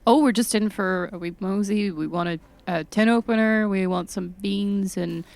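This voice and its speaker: A woman's voice: mid-range voice, moderate pace, gravelly, darkish tone, no room sound. A woman with an Irish accent casually improvising as a player in a tabletop role-playing game.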